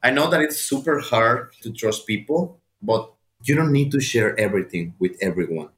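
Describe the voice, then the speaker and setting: A man's voice: mid-range voice, expressive, normal speed, a bit bright. Mexican accent guy telling his story, earnest n direct, repeats for emphasis, kinda echoey. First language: Spanish. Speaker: guest speaker sharing personal testimony. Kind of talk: personal testimony and encouragement, addressed to listeners. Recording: roomy, echoing sound.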